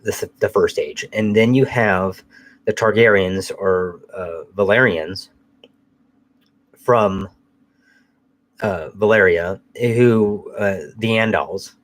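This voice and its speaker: A man's voice: lowish voice, slow, long pauses, poor audio. A man hosting a casual live chat while fighting a cold, sounding nasal, rambling loosely and swearing now and then. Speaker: host.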